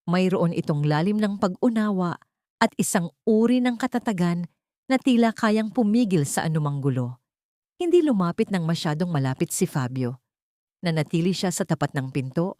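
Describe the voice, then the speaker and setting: A woman's voice: fairly low pitch, a little mellow, no room sound, clean studio sound. A woman reading scripted narration for a dramatic audio love story.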